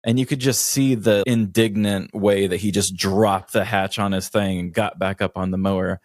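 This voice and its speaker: An American man with a fairly low voice tells stories casually as a podcast co-host. He complains in a comic, self-deprecating and dramatic way. The sound is close and dry, with little room around it. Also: fairly even intonation, moderate pace, a little mellow, no room sound. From United States. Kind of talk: casual comedic storytelling.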